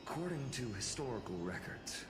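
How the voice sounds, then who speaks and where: An actor playing a composed, observant young adventurer in a video game, measured and thoughtful. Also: male voice, mid-range voice, sing-song, music in the background, natural voice. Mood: pensive.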